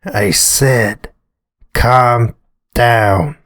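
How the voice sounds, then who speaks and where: A man voicing a calm, courteous but quietly menacing male bear in a visual novel, measured and commanding. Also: mid-range voice, soft background music. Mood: stern, controlled.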